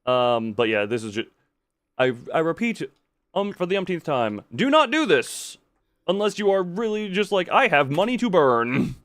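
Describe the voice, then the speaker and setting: A man's voice: on the high side, big pitch swings, moderate pace, fairly bright tone, no echo. A man giving casual livestream commentary on a game, rambling and breaking off his own sentences with wry asides. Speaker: video game streamer.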